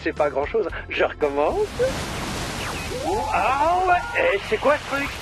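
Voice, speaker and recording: High-pitched, giddy cartoon dog. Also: male voice, huge pitch swings, takes it slowly, music in the background, heavily acted. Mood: elated. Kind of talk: French-dubbed children's cartoon acting.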